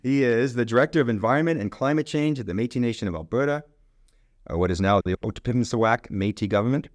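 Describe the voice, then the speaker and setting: Fast-talking male podcast host. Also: medium pitch, no room sound. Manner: chatty, rambling with many restarts. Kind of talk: casual interview conversation.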